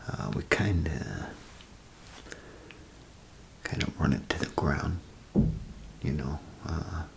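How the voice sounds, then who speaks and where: A man doing a soft-spoken, half-whispered ASMR role play as a chatty, grumbling bathroom attendant, rambling and hesitant in a folksy way. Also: rumbling low, expressive, takes it slowly, a little hiss or hum. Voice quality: gravelly, breathy half-whisper. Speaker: ASMR performer.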